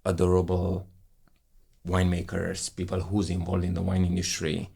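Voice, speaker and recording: Deep gravelly-voiced man. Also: monotone, normal speed, dark tone. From Romania. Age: 30s. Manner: effusive, enthusiastic storytelling with non-native English phrasing. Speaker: guest, wine professional. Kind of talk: casual conversation.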